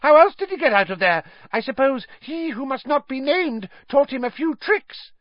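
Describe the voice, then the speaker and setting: A man reading an audiobook story, voicing a cowardly, cornered male traitor in a shrill, squealing, panicky, pleading voice. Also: lively intonation, moderate pace, no room sound, lo-fi sound. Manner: panicky, pleading, stammering protests.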